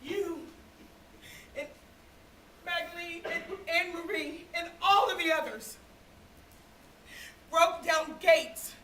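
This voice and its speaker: A woman performing a solemn dramatic monologue on stage, deliberate and with dramatic pauses. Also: very high-pitched, bright, forceful tone. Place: theatre stage, recorded from the audience. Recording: echoey hall from far off, faint noise.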